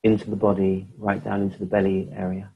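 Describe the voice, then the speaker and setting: A low, husky-voiced man leads a guided meditation. He speaks slowly and flatly, leaving long pauses between phrases. His tone is mellow and level throughout. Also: phone-quality sound. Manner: slow, soft-spoken, pausing often. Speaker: meditation teacher.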